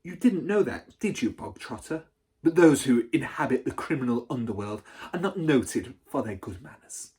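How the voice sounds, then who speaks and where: A storybook reader voicing a huge, cruel, tyrannical headmistress who barks and booms insults. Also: animated, normal speed, a little mellow, slightly rough. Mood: sly, mock-sweet. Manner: barking, booming insults. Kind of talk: storybook read aloud.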